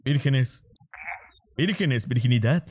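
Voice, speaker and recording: A man's voice: medium pitch, slow, a little mellow, slight background noise, lightly acted. A man voices a teenage boy who schemes and gives orders to his friends. He is glib and smug, with a Latin American accent. The delivery is that of an amateur drama dub, cocky throughout. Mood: persuasive. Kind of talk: amateur fandub acting.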